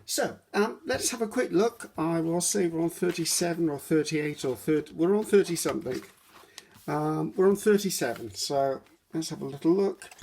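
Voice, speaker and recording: A woman's voice: low voice, mellow. A woman hosting a casual craft video at her table at home, rambling and correcting herself with frequent ums. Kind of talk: casual chatty craft walkthrough.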